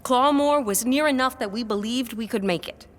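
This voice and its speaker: A woman playing a composed, sceptical manor employee in a period audio drama, with a measured, formal, restrained manner. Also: on the high side, close to an everyday voice. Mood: grave recounting.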